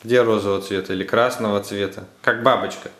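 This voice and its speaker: A man's voice: on the low side, steady tone, fast talker, fairly bright tone. A man chatting casually as he guides young children, encouraging and instructive, often checking with short questions. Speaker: father filming children's craft activity. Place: small furnished room at home. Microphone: camera mic, speaker off screen. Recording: roomy indoor echo.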